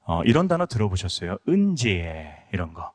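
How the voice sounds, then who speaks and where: A man's voice: low-pitched, very animated, normal speed. A middle-aged male pastor from Incheon giving a conference lecture, telling stories earnestly with many fillers. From Incheon, South Korea. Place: conference hall.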